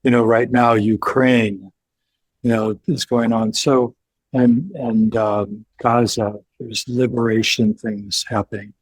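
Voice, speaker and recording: An older man asking careful, halting questions on a video call from his living room. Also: mid-range voice, little pitch movement, husky, no room sound, low-quality recording. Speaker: guest interviewer. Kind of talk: interview questions in conversation.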